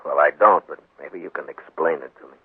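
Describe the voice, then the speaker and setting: An actor playing a stern, weary, principled American marshal, terse and understated, in a 1950s radio western. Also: male voice, rumbling low, fairly quick, husky, no room sound, full character voice. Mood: dry, resolute. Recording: muffled old radio broadcast.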